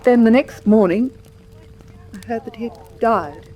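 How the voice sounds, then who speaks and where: A woman's voice: a little high, measured pace, highs cut off. A woman playing a proud, guarded, wronged lady with a formal, dignified manner in a radio drama. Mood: pained, confiding. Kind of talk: classic radio drama acting.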